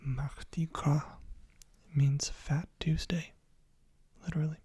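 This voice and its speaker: A teacher giving a whispered ASMR lesson, softly repeating each word many times in a breathy, husky whisper. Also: mid-range voice, little pitch movement, takes it slowly, no echo. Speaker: language teacher.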